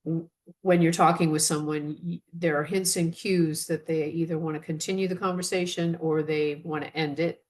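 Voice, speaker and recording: A woman co-presents a webinar, explaining her points in a measured, earnest way. Her voice sits on the lower side for a woman. She slows down to lean on the key words she wants to land. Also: steady tone. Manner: measured, earnest, deliberate emphasis. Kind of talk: instructive webinar talk.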